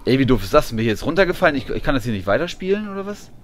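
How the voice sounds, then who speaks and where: A man's voice: mid-range voice, big pitch swings, rapid-fire, a little hiss or hum. A man giving casual, colloquial and often grumbling commentary while playing a video game. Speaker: gamer doing a let's play.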